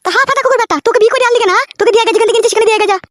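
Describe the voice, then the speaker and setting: A woman's voice: extremely high pitch, rapid-fire, a bit bright, slight background noise. A woman voicing a betrayed, scolding village wife in a comedy cartoon, with a rural West Bengal accent, ranting and wailing. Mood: angry. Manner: nagging, cursing, wailing rants. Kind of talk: comedy cartoon dub, melodramatic quarrelling.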